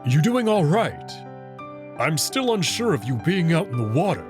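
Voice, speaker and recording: A man voicing a self-assured, teasing male wolf character from a visual novel, casual and gently bossy. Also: on the high side, very animated, normal speed, over music, voice pushed up. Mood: concerned. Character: confident, teasing male anthropomorphic wolf, commanding yet caring.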